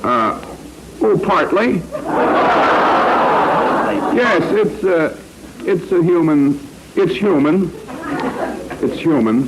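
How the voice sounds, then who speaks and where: A man's voice: on the high side, expressive, very slow, long pauses. An American man hosting a 1940s radio quiz show in a wry, teasing, conversational way. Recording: noisy vintage radio recording.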